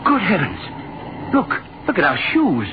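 An anxious, awestruck traveler in a radio melodrama, high-pitched and slow, voice swinging widely. The old recording is muffled and very noisy. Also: male voice, huge pitch swings, heavily acted. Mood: bewildered. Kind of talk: 1930s radio acting, melodramatic.

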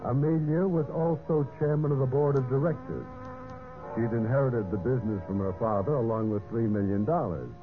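An actor playing a vain, scheming general manager in a golden-age radio drama, with a smug, boastful, smooth way of talking. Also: male voice, medium pitch, a little fast, narrow, tinny audio, natural voice. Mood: smug narration. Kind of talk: 1940s-50s radio drama acting, narration and dialogue. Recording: band-limited vintage radio, music behind.

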